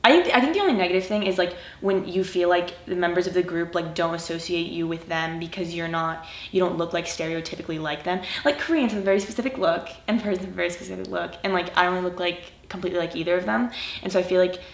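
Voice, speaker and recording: A woman's voice: on the low side, fast, vocal fry, few pauses. A teenage girl giving a documentary interview. She talks casually with many fillers and often trails off. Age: teens. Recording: echoey room.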